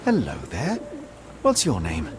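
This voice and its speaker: An actor playing a shrewd gentleman detective with formal, precise, probing speech in a scripted game. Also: lowish voice, wildly swooping pitch, unhurried, music in the background.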